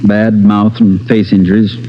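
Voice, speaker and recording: Low-voiced, careful hospital doctor, played by a man. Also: level tone, normal speed, close to an everyday voice. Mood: concerned, cautious. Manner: polite, hesitant, gently soothing. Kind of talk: 1940s-50s radio drama acting. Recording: dull old radio recording, faint music.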